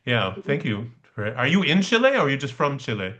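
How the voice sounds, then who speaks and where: A man's voice: mid-range voice, big pitch swings, fairly quick, a bit bright. A man leading a study session in a conversational, explanatory way, often checking in with a short questioning tag. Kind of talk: conversational seminar teaching. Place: online class over video call. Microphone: video call.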